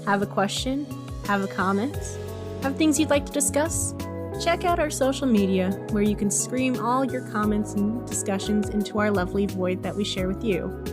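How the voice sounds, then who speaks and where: A woman's voice: mid-range voice, moderate pace. Playful woman narrator over music. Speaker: podcast creator reading credits. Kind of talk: scripted outro narration. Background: music behind the voice.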